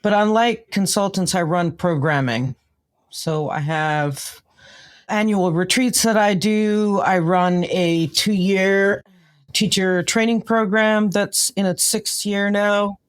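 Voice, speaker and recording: A woman's voice: medium pitch, slow pace. A woman with a New York accent, explaining her work as a guest in an interview. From New York, United States. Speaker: podcast guest, consultant and trainer.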